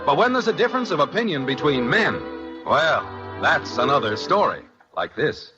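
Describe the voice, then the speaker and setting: A condescending, self-assured husband narrates in old radio sitcom style. His delivery is lively, with clear music behind it and a dull vintage sound. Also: a little high, moderate pace. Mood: smug, confiding.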